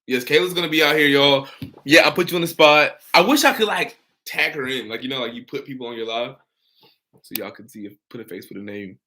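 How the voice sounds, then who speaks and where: A man's voice: mid-range voice, fairly quick, pushed and bright. A young man, hosting a casual livestream Q&A about his insurance work. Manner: upbeat and encouraging, reads out and answers viewer comments. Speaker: insurance broker and livestream host.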